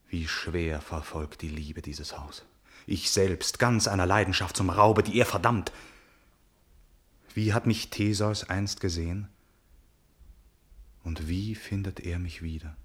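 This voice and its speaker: Husky, slow-spoken noble Greek prince. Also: male voice, lowish voice, fairly bright tone, exaggerated voice. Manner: earnest, solemn, declamatory. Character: noble, troubled young Greek prince, the king's son. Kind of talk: classical verse tragedy radio acting.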